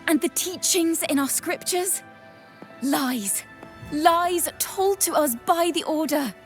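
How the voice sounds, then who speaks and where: A woman voicing a young female bard in a video game, defiant and playful, telling her tales in a theatrical, poetic manner. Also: high-pitched. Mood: solemn, stirring. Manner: theatrical, measured, poetic delivery. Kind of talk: dramatic storytelling in game voice acting. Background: music.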